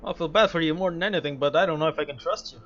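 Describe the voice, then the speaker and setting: Casual male gamer, fairly high voice, wry asides, slightly dull lo-fi mic with soft music underneath. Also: rapid-fire. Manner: wry, reacting aloud in asides. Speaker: video game player commentating. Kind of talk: casual let's play commentary.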